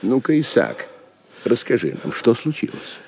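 Proud, gravelly Saxon lord, swooping pitch. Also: male voice, sing-song, moderate pace, a little mellow. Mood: commanding. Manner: pompous, declamatory. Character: proud, hot-tempered older Saxon nobleman, stern father. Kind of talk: theatrical audio drama acting.

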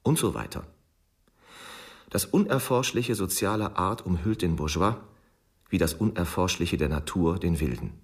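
A man reading an essay for the radio in an articulate, measured manner. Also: low voice, expressive. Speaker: reader of essays.

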